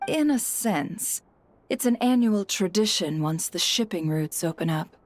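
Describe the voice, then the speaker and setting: A woman voicing a poised, shrewd female guild leader in a video game, composed, deliberate and businesslike. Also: mid-range voice, expressive, no echo. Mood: composed explaining. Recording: music behind the voice.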